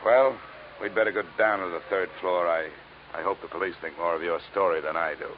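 An actor playing a tough, skeptical insurance investigator in an old radio detective drama, with dry, clipped, world-weary delivery. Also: male voice, sits low, brisk, close to an everyday voice. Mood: skeptical, probing. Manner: hard-boiled, dry, clipped. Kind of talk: 1940s-50s radio detective drama acting. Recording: dull, noisy old radio recording.

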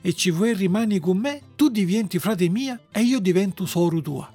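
Un uomo con accento del sud Italia che interpreta una fata calma, severa ma affettuosa, dal tono misurato, in una fiaba. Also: male voice, high voice, normal speed, husky, faint music behind, full character voice. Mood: tender. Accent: southern Italian. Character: calm, stern but loving female fairy.

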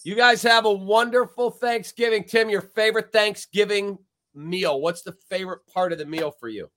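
A man hosts a sports talk show in an upbeat, chatty way. His voice is bright and a little tense, and it sits somewhat high for a man. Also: normal speed. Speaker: podcast host.

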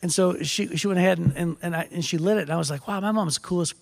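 A man with a raspy voice, a teaching pastor co-hosting a casual devotional podcast, telling stories with jokes. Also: sits high, fast, in a large hall. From United States. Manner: storytelling, jokey.

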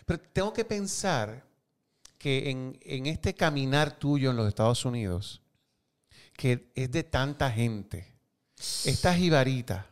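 A male interviewer with a lively, wide-ranging pitch. He keeps the talk going with frequent short affirmations and friendly questions. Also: slow pace, smooth. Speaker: interview host. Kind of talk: conversational interview.

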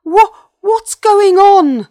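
A woman voicing a huge, wicked male dinosaur in a children's storybook, a loud bully with big theatrical delivery. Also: lowish voice, slow pace, no echo, clean studio sound, heavily acted. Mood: panicked pleading. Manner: loud, menacing, gloating.

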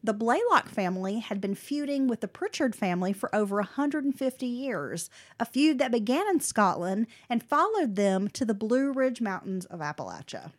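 A woman with a slightly high voice reads a prepared history story for a podcast. She breaks off into animated, slangy asides between the scripted parts. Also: moderate pace, hardly any pauses. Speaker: podcast co-host telling the story.

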